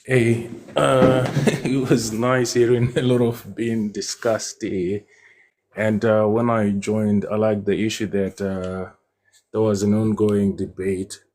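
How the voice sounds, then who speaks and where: A man's voice: on the low side, level tone, slow pace, darkish tone, slight background noise. A man from Zimbabwe giving a casual, hesitant comment in an online debate room. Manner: hesitant, many fillers. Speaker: debate participant.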